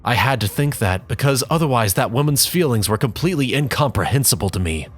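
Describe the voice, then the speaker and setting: A man performing a bitter young man who looks back on his mother with contempt, reading in a measured, brooding way with dramatic pauses. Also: mid-range voice, expressive, natural voice. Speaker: narrator in character.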